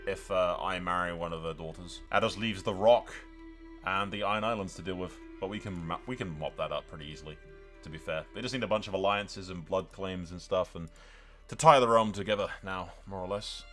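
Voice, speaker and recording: Sweary, bright-voiced man with a low pitch. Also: brisk, soft background music. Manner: sweary, blokey, addressing viewers as lads. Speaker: gaming video host. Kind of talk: casual game playthrough commentary.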